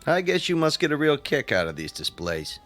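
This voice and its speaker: An actor playing a nosy, casual museum guard in a radio drama, wry and probing. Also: male voice, a little high, big pitch swings, fairly quick. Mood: curious, wry. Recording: radio play with soft music underneath.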